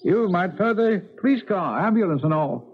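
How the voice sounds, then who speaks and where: An actor playing a folksy, gossipy old New Hampshire local in a rambling rustic manner for a 1970s radio drama. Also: male voice, high voice, lively intonation, moderate pace, slightly rough. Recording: lo-fi 1970s radio, faint noise.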